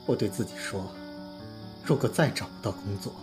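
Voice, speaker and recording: A man's voice: lowish voice, moderate pace, music in the background, close to an everyday voice. A man playing an earnest, lovestruck young pop star in an audio drama, speaking in an emotive, confiding way. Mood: wistful. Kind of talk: Chinese audio drama acting, heartfelt monologue and dialogue.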